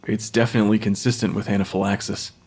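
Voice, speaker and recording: An actor playing a dry, matter-of-fact forensic pathologist who questions the dead, in a naturalistic audio drama. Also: male voice, lowish voice, level tone, fast talker, a little mellow, husky. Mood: clinical, intrigued.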